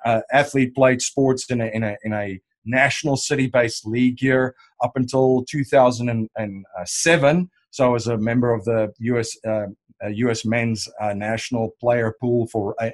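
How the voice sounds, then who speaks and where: South African man, 30s, relaxed interview guest, meandering answers full of fillers. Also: medium pitch. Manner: rambling, many fillers and restarts. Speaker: podcast host and wealth strategist guest.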